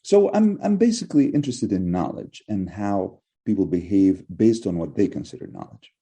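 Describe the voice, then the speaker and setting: Give me a middle-aged man from Egypt, Arabic, speaking English in a low, husky voice. His answers are measured and probing, with frequent restarts as he thinks aloud. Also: very animated, dark tone. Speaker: professor, guest. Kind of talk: reflective interview answers.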